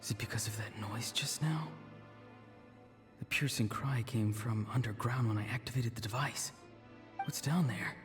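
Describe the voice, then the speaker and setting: Man as desperate young game warrior, medium pitch, anguished, in long reverb over clear music. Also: moderate pace, long pauses, close to an everyday voice. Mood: weak, fearful. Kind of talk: dramatic video game acting.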